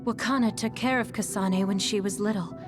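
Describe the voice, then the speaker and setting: A woman's voice: mid-range voice, a little fast, over music, lightly acted. An actress playing a defiant, grieving young woman fighter in a video game cutscene. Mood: bitter.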